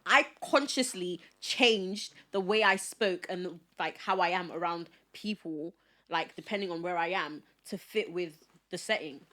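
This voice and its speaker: A young woman, chatting as a podcast guest and telling her stories in a lively, casual way. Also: lowish voice, unhurried. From Nigeria. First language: English. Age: young adult. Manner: chatty, animated storyteller, switches voices.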